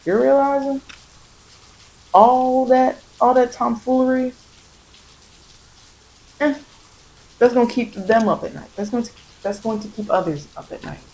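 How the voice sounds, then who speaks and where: An American woman giving soft-spoken ASMR spiritual guidance as a tarot reader, meandering and repeating phrases to reassure. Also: on the high side, unhurried, pauses often, rough recording.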